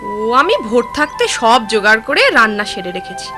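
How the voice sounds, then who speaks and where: A woman's voice: on the high side, expressive, a little fast, over music, close to an everyday voice. A woman voicing a ghost disguised as an eager, dutiful young daughter-in-law in a children's cartoon. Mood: eager, obliging. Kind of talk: Bengali children's cartoon acting.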